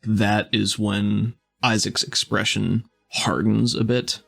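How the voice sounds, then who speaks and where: A man's voice: lowish voice, level tone, slow, vocal fry, a bit bright. A man working as game master, narrating a tabletop roleplay scene descriptively.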